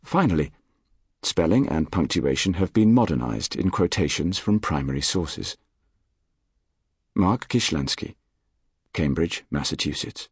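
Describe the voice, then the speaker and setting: A man reading a nonfiction audiobook in a measured, articulate, formal manner. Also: sits low, animated, breathy edge, no echo, clean studio sound. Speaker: audiobook narrator. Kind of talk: scripted nonfiction audiobook narration. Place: dry recording studio.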